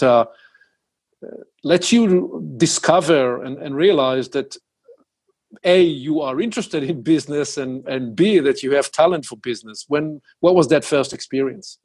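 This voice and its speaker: A man hosting a podcast, asking deliberate, probing interview questions. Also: a little high, a little mellow, breathy edge. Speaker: podcast host.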